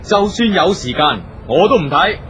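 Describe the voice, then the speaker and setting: A man's voice: sits high, lively intonation, moderate pace, a bit bright, slight background noise. A man with a Hong Kong Cantonese accent playing a stubborn, domineering eldest brother who runs the family company, curt and imperious, in a radio drama. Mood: stern, dismissive.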